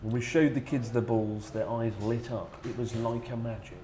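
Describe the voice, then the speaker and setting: A man telling a casual comic anecdote to friends in an animated, sardonic way, acting out quotes. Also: mid-range voice, normal speed. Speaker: storyteller, former English teacher. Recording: roomy echo with clear background noise.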